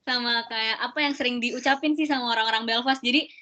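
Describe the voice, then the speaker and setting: A woman's voice: on the high side, steady tone, quick pace, sharp tone. A young woman hosting a student Q&A in casual chat, explaining things with lots of fillers over a video call. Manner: chatty, explaining with many fillers. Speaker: student event host. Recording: dull lo-fi video call audio.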